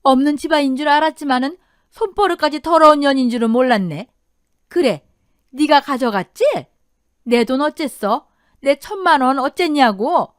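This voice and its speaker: A woman's voice: high voice, moderate pace, no echo, clean studio sound, voice pushed up, close to an everyday voice. A woman voicing a cruel older mother-in-law in an audio drama, sneering and snarling accusations in a furious temper. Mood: accusing, threatening.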